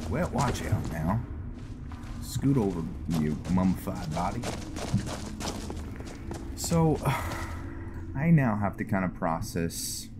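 Slow, deep-voiced man with music behind. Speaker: host of a gaming roleplay series. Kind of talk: casual game commentary. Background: background music.

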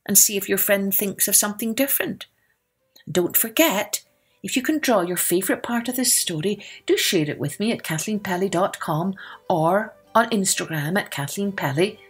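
Lively woman storyteller with music behind. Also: medium pitch, breathy edge. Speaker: storyteller and podcast host. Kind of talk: scripted children's storytelling narration.

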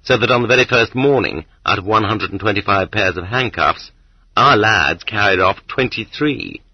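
Low, husky male audiobook narrator. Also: moderate pace, no room sound. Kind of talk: scripted narration of a nonfiction book. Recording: dull lo-fi cassette transfer, dry.

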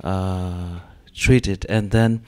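Hesitant low-voiced man on a gallery panel. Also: fairly even intonation, drawn-out words, dark tone. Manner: hesitant, many fillers. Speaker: panel artist. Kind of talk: panel discussion. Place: gallery room with audience. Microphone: handheld mic.

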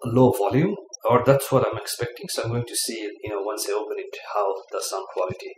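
A man giving a casual unboxing review of a gadget, talking haltingly with many fillers, at a table in his living room. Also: fairly low pitch. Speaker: product reviewer. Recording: echoey room, faint room noise.

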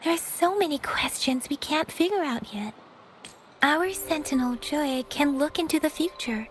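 A woman playing a gentle, reasonable young woman guide in a video game, speaking earnestly and politely. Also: high voice, lively intonation, normal speed, slight background noise. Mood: earnest, helpful.

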